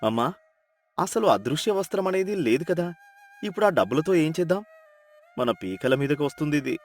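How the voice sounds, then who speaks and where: Man voicing a wily, high-pitched young peasant. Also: big pitch swings, normal speed, faint music behind. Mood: worried.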